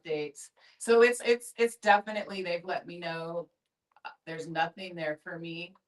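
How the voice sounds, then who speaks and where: A woman with a rather low voice talks casually and candidly in a group discussion. She is blunt and leans on repetition to make her point. The mic is some distance from her. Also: steady tone, normal speed.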